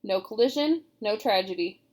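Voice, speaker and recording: A woman's voice: medium pitch, animated, normal speed, darkish tone, lightly acted. A woman reading aloud, voicing a bossy, practical young boy who talks bluntly and firmly.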